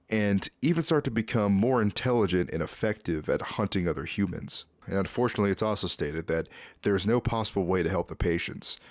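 A young man with a fairly low voice narrates a horror series recap as a video host. His delivery is scripted yet conversational and casual. He is recorded close on a desk mic in a small room, with a dry sound. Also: dry recording. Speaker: YouTube host. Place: small dim home room, indoors. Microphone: close desk microphone.

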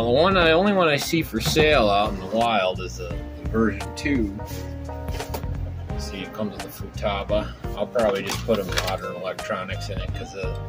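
A man hosting a casual hobby video at his home workshop bench, thinking aloud with lots of fillers as he handles his project. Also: lowish voice. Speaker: RC hobby channel host. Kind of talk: casual workbench show-and-tell.

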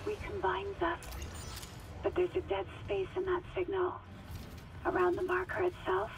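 An actress playing an eerily serene, devoted woman in a video game, speaking in slow, cryptic, portentous lines. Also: sing-song, full character voice. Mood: haunting, wistful. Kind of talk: video game drama acting. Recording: music and ship rumble behind, partly distant. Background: music, engine rumble.

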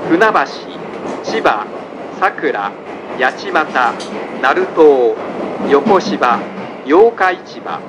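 A man giving a formal, measured, polite scripted announcement over a train's speakers. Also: on the high side. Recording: train speaker under loud railway noise. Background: loud train running noise.

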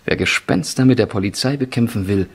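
Gravelly, self-assured police sergeant. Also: male voice, fairly low pitch, brisk. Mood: confident, explaining. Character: eager, self-assured male Scotland Yard sergeant and crime writer. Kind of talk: 1970s German radio crime play acting.

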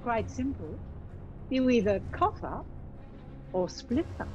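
A woman's voice: on the high side, big pitch swings, slow pace, background noise. A woman playing a vain, gloating wicked queen in a pantomime, grand and theatrical, with a drawl. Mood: gloating menace. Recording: dull lo-fi video call, music behind.

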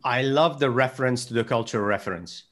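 A man in his forties from Hungary with a Hungarian accent, hosting a video call conversation in a measured, thoughtful way from his home office. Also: medium pitch, a bit bright. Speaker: host. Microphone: desk mic on video call.

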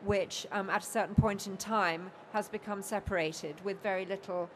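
An older woman chairing a panel discussion, speaking in a measured way with frequent ums at a table microphone. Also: medium pitch, fairly even intonation, a bit bright, a little hiss or hum. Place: bookfair panel stage with an audience, indoors.

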